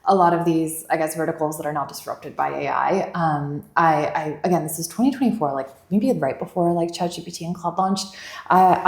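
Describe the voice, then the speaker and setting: A Bulgarian-born woman in her thirties talks as a podcast guest, chatty and rambling. Her voice sits fairly low, with a gravelly edge, and it rings around an echoey room. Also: a little mellow. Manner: chatty, rambling, many "like" fillers. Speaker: venture investor guest. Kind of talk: casual interview chat.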